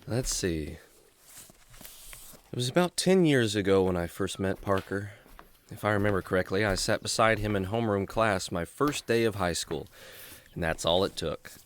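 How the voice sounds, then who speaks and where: A man's voice: lowish voice, expressive, moderate pace, slight background noise, close to an everyday voice. A man playing a friendly, earnest young adventurer in a children's radio drama, speaking like a clean-cut storyteller. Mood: nostalgic.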